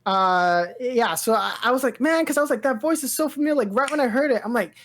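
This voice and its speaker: A woman's voice: fairly high pitch, animated, fast. A woman chatting casually as a podcast co-host, enthusiastic and rambling, peppering her talk with "like" and "dude". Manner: rambling, heavy use of "like" and "dude"; enthusiastic, gushing. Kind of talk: casual pop-culture chat.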